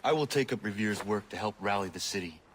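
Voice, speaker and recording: An actor playing a stern, resolute warrior in a game, with a terse, commanding, formal manner. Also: male voice, fairly low pitch, expressive, quick pace, slight background noise, lightly acted. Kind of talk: scripted game drama dialogue.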